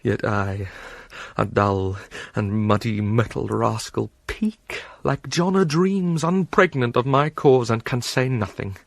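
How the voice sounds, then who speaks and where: An actor with a British accent playing an anguished, self-loathing young prince in a theatrical Shakespearean soliloquy, breathy and brooding. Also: male voice, mid-range voice, takes it slowly, no echo. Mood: bitter brooding. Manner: brooding, swinging from hushed musing to ranting.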